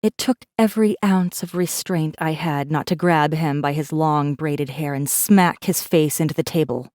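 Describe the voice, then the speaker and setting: A woman's voice: sits low, normal speed, darkish tone, dry recording, clean studio sound, close to an everyday voice. A woman playing a defiant, bitter captive rebel assassin who narrates her own story in an intense, brooding way. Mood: resolute. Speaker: audiobook narrator. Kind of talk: first-person dramatic narration.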